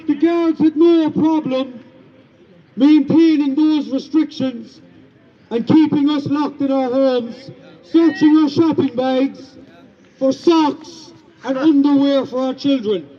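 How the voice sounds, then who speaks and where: An Irish man with a big, loud voice giving an emphatic outdoor protest speech, repeating his points with indignation. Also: very high-pitched, dull audio. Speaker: rally speaker. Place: street rally outdoors.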